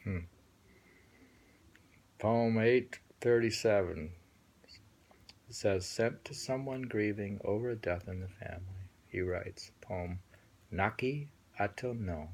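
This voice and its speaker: A man reading translated poems aloud and musing on them casually, in a halting manner with repeated phrases and a husky, gravelly voice. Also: lowish voice, darkish tone. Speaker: reader and host.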